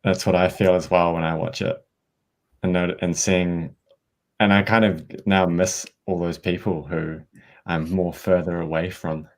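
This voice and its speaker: A man on an artists' panel talks casually, often restarting and repeating his phrases. His voice sits very low. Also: little pitch movement, a little slow. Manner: hesitant, repeating his words.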